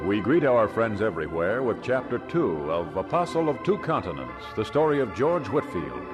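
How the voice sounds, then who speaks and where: A man's voice: fairly low pitch, big pitch swings, normal speed. A man giving a formal, scripted introduction as a radio series announcer. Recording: loud organ music behind.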